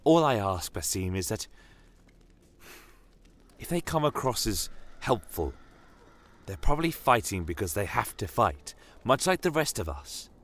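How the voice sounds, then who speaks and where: Man voicing a gentle, earnest woman healer. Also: lowish voice, sing-song, measured pace, long pauses, full character voice. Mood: pleading. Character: earnest, compassionate female healer advising a commander.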